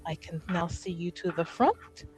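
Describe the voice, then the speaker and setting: A woman's voice: fairly low pitch, lively intonation, unhurried, a little mellow, soft background music, pitched below the performer's own voice. Woman playing a polite, deferential ducal servant.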